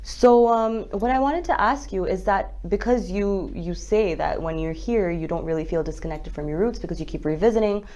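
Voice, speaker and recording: A woman's voice: medium pitch, moderate pace, hardly any pauses, dark tone. A woman hosting a conversational interview, talking in a wordy, circling way as she works toward her questions. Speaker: interview host.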